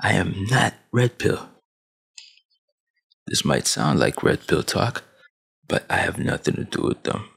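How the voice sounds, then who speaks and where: A young man in his twenties, from Sudan and raised in Saudi Arabia, lectures like an older brother. His voice is low, gravelly and husky, and it swings up and down a lot as he talks with his hands. He speaks into a headset mic in a small dim room. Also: big pitch swings, a little slow, long pauses, a bit bright. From Sudan, grew up in Saudi Arabia. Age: 20s. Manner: preachy big-brother tone, emphatic, with hand gestures. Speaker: vlogger. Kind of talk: motivational rant to camera. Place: small dim room at home, gaming chair behind. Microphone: headset with boom mic.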